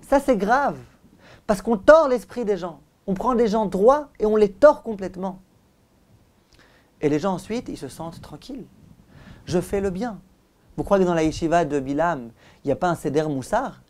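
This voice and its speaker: A man's voice: high voice, lively intonation, normal speed, pauses often, darkish tone. A man giving a religious lecture as a rabbi, speaking in an emphatic, didactic way with repeated phrases and direct questions to his listeners. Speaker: rabbi giving a Torah lesson.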